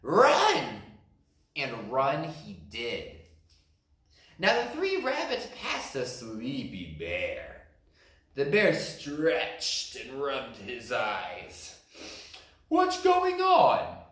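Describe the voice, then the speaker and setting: Man reading a picture book aloud, slow, lively pitch, echoey room. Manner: slow expressive narration.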